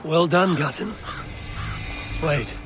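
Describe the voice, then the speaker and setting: An actor playing a steady, dry-witted Viking warrior in a video game cutscene. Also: male voice, fairly high pitch, slow, over music. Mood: curious, friendly. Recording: noisy outdoor ambience.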